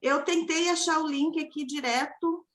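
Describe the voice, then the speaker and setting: A woman's voice: high-pitched, steady tone, moderate pace, fairly bright tone. A woman joining a video call from her phone, apologetic and explaining her research step by step. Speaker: participant, language researcher. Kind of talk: casual video-call chat. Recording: roomy phone audio on a call.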